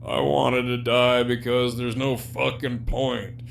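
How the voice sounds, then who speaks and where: A man's voice: mid-range voice, level tone, takes it slowly, creaky. A man acting in an audio drama as a jaded, sardonic, despairing prisoner villain with a profane, wry, teasing drawl. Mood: bleak, weary. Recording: faint background hum and noise.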